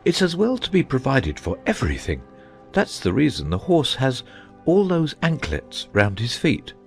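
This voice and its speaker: A man playing an eccentric, earnest, bumbling old knight in a dramatized audiobook, with a halting, fussy delivery. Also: mid-range voice, huge pitch swings, faint music behind. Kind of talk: dramatized audiobook character acting.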